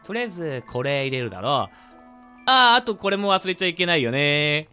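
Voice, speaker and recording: A high, very animated young man, acted by a man in a radio drama. He leads his friends with theatrical announcements and a boisterous, showy temper. The voice is bright and forward. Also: fairly quick, smooth, soft background music. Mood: showy, eager. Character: boisterous young man gleefully hosting a dark hot-pot game. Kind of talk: amateur Japanese radio drama acting.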